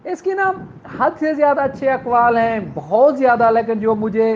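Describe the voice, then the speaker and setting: An earnest male law lecturer with a strikingly high voice. He speaks warmly and directly to his listeners as sisters and brothers. The sound is a little dull, with a faint background hiss. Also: lively intonation, moderate pace. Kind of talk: motivational lecture.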